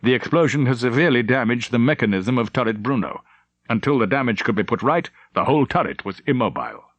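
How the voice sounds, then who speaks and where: A male audiobook narrator reads a novel with dramatic intent. His mid-pitched voice moves widely up and down, recorded very dry with no room around it. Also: lively intonation, quick pace, a bit bright, no room sound, dull audio.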